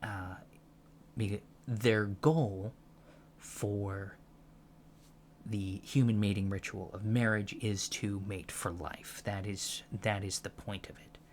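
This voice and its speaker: A man playing a gentle, earnest alien professor in a soft-spoken ASMR lecture roleplay, with a halting, thoughtful delivery. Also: fairly low pitch, steady tone, slight background noise. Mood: earnest, calm. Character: gentle, earnest male alien college professor teaching about humans.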